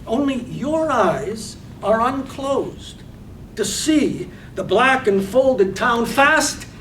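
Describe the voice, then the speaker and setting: A man narrating a poetic play from a script with hushed, deliberate, measured phrasing. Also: expressive. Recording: roomy stage, far mic, faint rumble.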